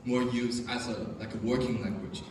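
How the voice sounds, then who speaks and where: A student speaking haltingly, with many "like" fillers. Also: male voice, mid-range voice, steady tone, heavy background noise. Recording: distant, echoey room.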